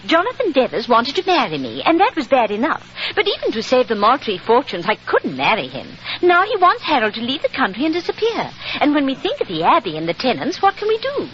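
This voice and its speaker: An anxious young woman in an old radio play. The voice is high and bright, rising and falling dramatically as it hurries on earnestly. The 1940s recording is muffled and lightly hissy. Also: huge pitch swings, brisk, hardly any pauses, full character voice. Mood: anxious, pleading. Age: young adult. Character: anxious, breathless young lady client pouring out troubles.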